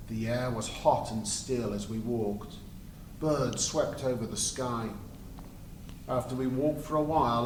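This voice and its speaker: A man giving an expressive, emphatic dramatic reading aloud, in the style of a teacher telling a story. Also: medium pitch. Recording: roomy, distant mic, faint noise.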